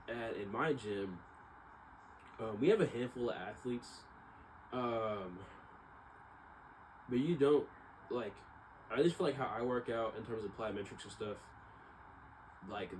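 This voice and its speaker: A man talking casually and unscripted, in a rambling, self-doubting way that circles back and repeats. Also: lowish voice. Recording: clearly noisy ambient background.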